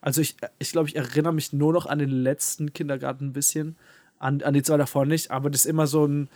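Halting young man on a podcast, dry sound. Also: a little high, flat delivery, darkish tone, no room sound. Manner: halting, many fillers and restarts. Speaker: podcast co-host. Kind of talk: casual conversation. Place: living in a city abroad.

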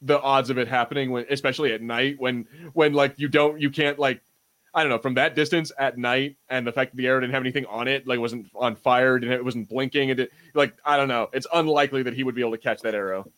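A man's voice: medium pitch, steady tone, quick pace, fairly bright tone. A man hosting a podcast, chatting casually about TV shows in an informal way with some mild swearing. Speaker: podcast host.

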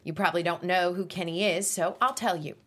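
An actress at a table read playing a determined radio host who was fired, and who talks in an earnest, pleading way. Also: female voice, on the low side, fairly even intonation, a little fast, fairly bright tone. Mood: confident.

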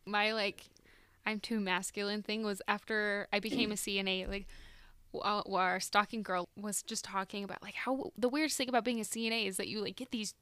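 A woman's voice: medium pitch, fairly quick, smooth, no room sound. A young woman co-hosting a podcast, chatting animatedly with lots of "like" and acted-out quotes. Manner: animated, filler-heavy, acts out quotes. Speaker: podcast co-host. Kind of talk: casual chat.

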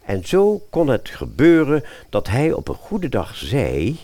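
A man's voice: mid-range voice, huge pitch swings, unhurried, dark tone. A man narrating a satirical fairy tale as storyteller, expressively and with wry asides to the listener.

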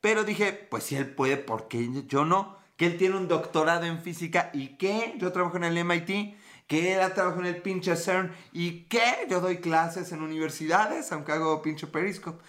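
Joking Mexican man, bright voice. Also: high-pitched, moderate pace. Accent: Mexican Spanish. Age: 30s. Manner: joking, profane, playful. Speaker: podcast host. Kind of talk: casual live-stream chat reading comments.

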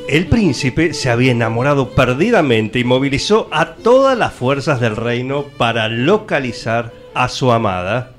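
A man's voice: mid-range voice, sing-song, moderate pace, hardly any pauses. A man with an Argentine accent narrating a storybook parody as part of a comic radio theatre show. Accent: Argentine, Rioplatense. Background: music.

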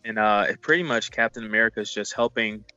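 Lowish voiced dude cohosting a movie chat, rambly and repetitive, super casual, dry muffled audio. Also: male voice, fast talker, pushed and bright, smooth, no room sound. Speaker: movie review co-host. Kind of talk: casual film review chat. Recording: dull lo-fi sound.

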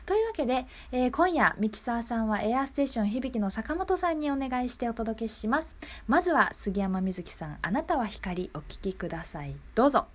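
A woman's voice: fairly high pitch, lively intonation, brisk. A woman hosting a local radio show, speaking politely and conversationally as she addresses her listeners. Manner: polite, conversational, often seeking agreement from listeners. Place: radio studio.

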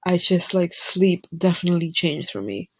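A woman's voice: on the low side, animated, normal speed, breathy edge, no echo. A young woman with a Caribbean accent co-hosting a casual podcast, congested from a head cold and talking in a candid, laid-back way. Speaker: podcast co-host. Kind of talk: casual chat.

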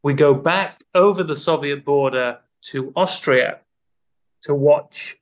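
A man's voice: on the high side, takes it slowly. A man hosting a comedy podcast quiz, teasing his co-host in casual banter. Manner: playful, teasing quizmaster.

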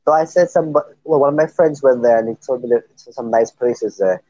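A husky-voiced man with a Caribbean accent chatting casually, telling things in an animated way. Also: mid-range voice, moderate pace, no echo, lo-fi sound. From Trinidad. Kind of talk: casual chat.

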